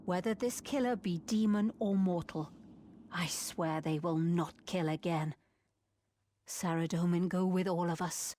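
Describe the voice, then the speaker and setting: A woman's voice: lowish voice, a little slow, dark tone, dry recording, lightly acted. A woman playing a stern, resolute abbess with an authoritative, formal manner in a fantasy video game. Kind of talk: scripted video game dialogue.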